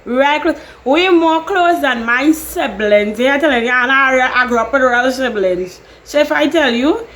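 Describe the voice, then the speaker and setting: A woman's voice: high voice, lively intonation, measured pace, few pauses, fairly bright tone. Woman, Trinidadian accent, young adult, rambling chatty vlog talk, faint hiss behind. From Trinidad and Tobago. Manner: chatty, rambling, laughs often. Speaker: YouTuber. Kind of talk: casual Q&A vlog.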